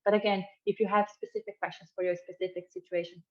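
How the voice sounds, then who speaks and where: A woman's voice: fairly low pitch, flat delivery, quick pace, a little mellow, muffled recording. A middle-aged woman from Brazil hosting a housing webinar, friendly and full of fillers and restarts. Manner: friendly, many fillers and restarts, laughs easily. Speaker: webinar host, housing buying manager. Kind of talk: presenting a webinar.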